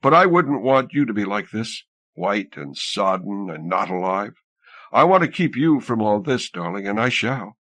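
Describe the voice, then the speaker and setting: A man's voice: medium pitch, fairly bright tone, no room sound. A man voicing a young drowned nurse, tender, weary and wistful, in an audiobook story.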